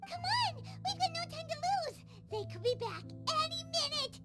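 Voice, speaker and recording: Very high, perky young girl. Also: moderate pace, faint music behind, exaggerated voice. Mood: excited. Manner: perky, expressive. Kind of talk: scripted video game acting.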